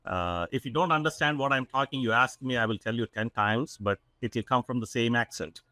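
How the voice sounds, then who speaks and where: A man's voice: mid-range voice, a little fast, bright, forceful tone, no echo. A man in his forties from South India with a South Indian accent, a company CEO talking earnestly as a podcast guest, with many fillers and restarts. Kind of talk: casual interview talk.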